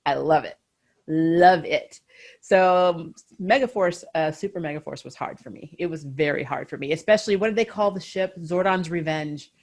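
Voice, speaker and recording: A woman's voice: low-pitched, moderate pace, darkish tone. A woman hosting a casual fan chat, talking in a giggly, enthusiastic way. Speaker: host of a fan interview show.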